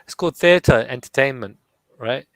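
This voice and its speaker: A man from West London with a West London accent, talking over others in a casual live chat, quick and defensive. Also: mid-range voice, a bit bright, low-quality recording. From West London, England. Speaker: guest poet on a live audio room.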